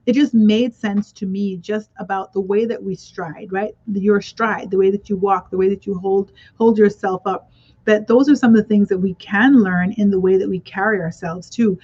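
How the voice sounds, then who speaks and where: A woman's voice: mid-range voice, fairly even intonation, moderate pace, husky. A woman hosting a radio talk show, telling stories in a casual chat and encouraging her guest. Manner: warm encouraging affirmations, storytelling. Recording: dull lo-fi radio audio.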